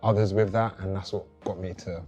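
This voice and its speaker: A man's voice: low voice, steady tone, a little fast, dark tone, breathy edge, dull audio. A young man from South London, earnestly describing his experience in a casual interview. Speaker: regular blood donor. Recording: light music in the background.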